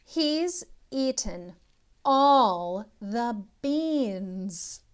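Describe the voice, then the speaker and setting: A woman reads a picture book aloud as a tutor would for children. Her voice is high and very animated, slowing right down on key words and explaining as she goes. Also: smooth. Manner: expressive, animated, instructional, with drawn-out words. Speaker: literacy tutor reading aloud.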